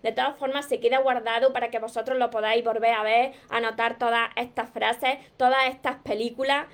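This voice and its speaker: High-voiced Spanish woman coaching viewers. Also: fairly even intonation, brisk. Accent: Spain Spanish. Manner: earnest, teacherly, addresses viewers directly with repeated phrases. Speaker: personal growth coach. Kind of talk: live stream talk answering viewer comments.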